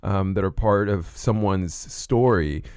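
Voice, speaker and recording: A man's voice: sits low, a little slow, darkish tone, clear, resonant tone, no echo, studio quality. A man co-hosting a podcast, asking long, rambling questions full of fillers and restarts. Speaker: podcast co-host. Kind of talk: conversational podcast interview. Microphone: studio microphone.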